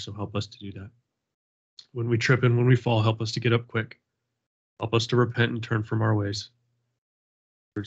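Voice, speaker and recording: A man leading a Bible study on a video call, praying earnestly and chatting casually, with a slight cold. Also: on the low side. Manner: earnest, repetitive prayer cadence. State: has a cold, sniffling. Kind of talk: prayer and casual group talk. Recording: dull lo-fi video call audio.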